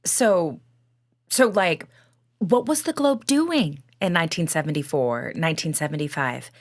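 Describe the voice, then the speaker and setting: A woman's voice: sits low, lively intonation, measured pace, heavily acted. A woman acting as a skeptical questioner in an audio play, conversational and challenging.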